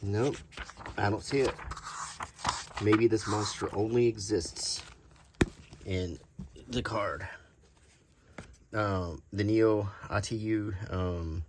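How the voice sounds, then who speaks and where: A man with a low voice rambles casually through an unscripted show-and-tell. He is unhurried and thinks aloud as he goes, with some noise behind him. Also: steady tone. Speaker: host showing vintage game cards.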